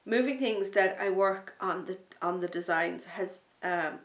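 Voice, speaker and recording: A woman hosting a knitting podcast, chatting in a rambling, enthusiastic way, a little sniffly from a starting cold, sometimes dropping to a soft near-whisper. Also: mid-range voice, roomy sound. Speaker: knitting podcast host and designer. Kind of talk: casual chat to camera.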